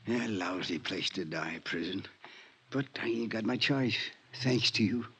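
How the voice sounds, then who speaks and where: An actor playing a dying small-time crook in a 1950s radio drama, hoarse and weak, with halting slangy tough-guy talk. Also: on the low side, lively intonation, a bit bright, heavily acted. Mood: resigned, grateful. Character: dying male convict, small-time grifter, resigned and wry. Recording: vintage 1950s radio recording.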